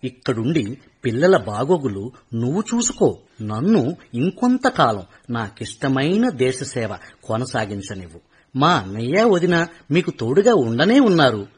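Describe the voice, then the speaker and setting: A man's voice: mid-range voice, big pitch swings, unhurried, husky, exaggerated voice. A man playing an earnest retired soldier. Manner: earnest, persuasive with his wife. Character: retired male soldier eager to serve again. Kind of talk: radio drama acting.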